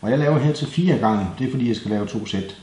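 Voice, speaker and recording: A man's voice: medium pitch, warm tone. A Danish man presenting a do-it-yourself tutorial in a casual, plain-spoken, explanatory way. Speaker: DIY presenter. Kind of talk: hands-on tutorial narration, casual. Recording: echoey room, faint background noise.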